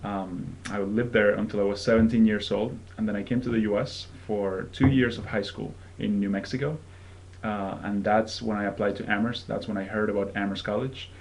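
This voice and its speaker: A man's voice: fairly low pitch, steady tone, normal speed, creaky, darkish tone, low-quality recording. A young man from Venezuela in his twenties, an admission fellow giving a friendly, conversational presentation to prospective students with frequent ums. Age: 20s.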